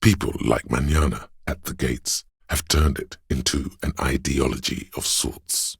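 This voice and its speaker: A man voicing a know-it-all inner voice of encyclopedic trivia in a video game, measured, pedantic and dryly wry. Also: very deep voice, steady tone, gravelly, slightly rough, dry recording, clean studio sound, exaggerated voice. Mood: measured, erudite.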